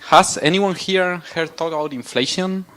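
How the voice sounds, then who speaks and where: A man's voice: a little high, normal speed, fairly bright tone. A man in his twenties from southern Spain with a Spanish accent, giving a casual, self-deprecating public lecture in a lecture room. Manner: friendly, self-deprecating, joking with audience. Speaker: guest speaker.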